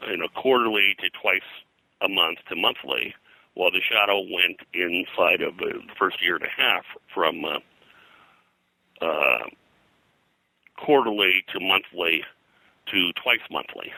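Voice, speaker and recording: A man recounting history as an interview guest, in a halting, digressive, detail-heavy way. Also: low-pitched, creaky, sharp tone, dry recording, low-quality recording. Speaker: pop culture historian, guest.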